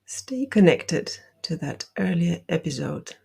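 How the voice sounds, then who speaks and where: Low, husky woman guiding a meditation. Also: very animated. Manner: slow, deliberate delivery with soft, breathy phrasing. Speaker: meditation guide. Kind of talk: guided meditation, gentle instruction.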